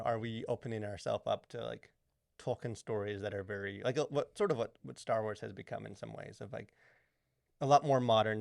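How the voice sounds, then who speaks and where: A man hosting a casual podcast discussion, talking in a halting, rambling way full of filler words. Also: lowish voice, creaky, dry recording, studio quality. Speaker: podcast host.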